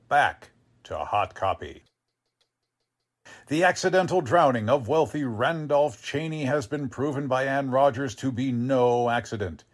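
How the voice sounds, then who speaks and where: A man announcing a radio mystery in 1940s style, with dramatic, deliberate scripted narration. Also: medium pitch.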